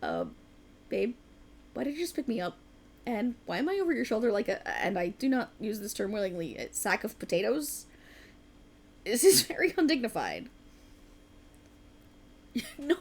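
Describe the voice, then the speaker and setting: High-voiced, animated writer girlfriend, acted by a woman. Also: moderate pace, long pauses. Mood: flustered protest. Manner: teasing, dramatic, bantering. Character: sleep-deprived writer girlfriend, playfully arrogant, stubborn, excitable. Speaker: ASMR roleplay performer. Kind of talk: conversational ASMR girlfriend roleplay.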